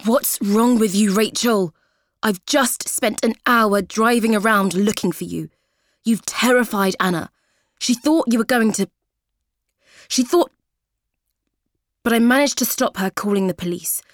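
A woman voicing an angry man shouting accusations in a phone message. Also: mid-range voice, a little slow, pauses often, no echo, clean studio sound, pitched above the performer's own voice, lightly acted. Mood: furious.

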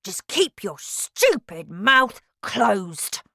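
A woman voicing a bad-tempered father who shouts and sneers. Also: medium pitch, big pitch swings, extremely slow, creaky, dry recording, studio quality, pitched above the performer's own voice, exaggerated voice. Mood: angry. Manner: shouting, scornful.

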